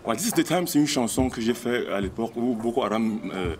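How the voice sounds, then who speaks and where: A man's voice: medium pitch, expressive, fairly quick, over music. A man, a singer from Cameroon, answering interview questions earnestly with many hesitations.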